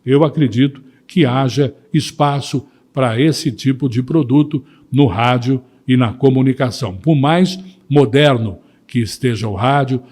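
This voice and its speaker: A man's voice: mid-range voice, unhurried, hardly any pauses, darkish tone, breathy edge. A man with a Brazilian accent, a radio presenter giving reflective commentary.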